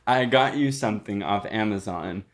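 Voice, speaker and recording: A man's voice: on the low side, moderate pace, crowd noise. A young man co-hosting a podcast, joking in casual, slangy banter with some swearing. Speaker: podcast co-host.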